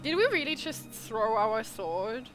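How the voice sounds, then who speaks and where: A woman's voice: a little high, very animated, unhurried. A young woman giving casual, irreverent commentary on a video game playthrough, full of jokey asides. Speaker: gaming streamer. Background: game music.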